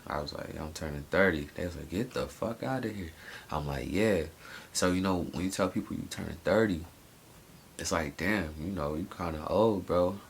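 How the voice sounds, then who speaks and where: A man's voice: deep voice, moderate pace, creaky, a little hiss or hum. A man in his late twenties talking through his day in a loose, rambling vlog monologue, full of slang and restarts. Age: 20s. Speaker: vlogger. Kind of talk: casual personal monologue.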